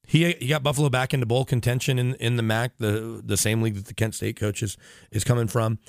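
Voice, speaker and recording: A man's voice: mid-range voice, fairly even intonation, fairly quick, vocal fry, no room sound, clean studio sound. A man talking sports casually as a radio broadcaster guest, explaining in long detail with tangents and self-corrections. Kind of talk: casual sports talk interview.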